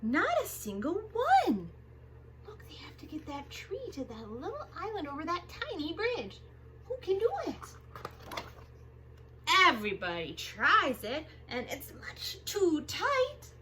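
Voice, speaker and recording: Animated, high-pitched woman reading a storybook. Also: noisy background. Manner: expressive, drawn-out sound words, sing-song repetition. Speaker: storytime host reading to children. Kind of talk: animated picture-book read-aloud for young children.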